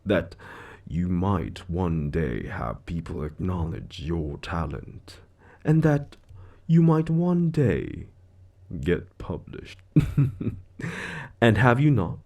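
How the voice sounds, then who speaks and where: An actor playing a suave, smug devil who deals in souls, speaking with theatrical, condescending mock courtesy in a scripted audio roleplay. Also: male voice, low-pitched, sing-song, takes it slowly, gravelly, a little mellow, highs cut off, exaggerated voice. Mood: mocking feigned kindness. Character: suave, smug male devil, menacing soul-contract broker in Hell.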